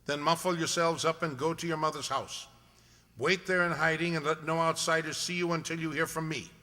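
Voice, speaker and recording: A man playing a shrewd, commanding gentleman detective in an incisive, theatrical radio-play style. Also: on the high side, fairly even intonation, brisk. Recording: echoey hall.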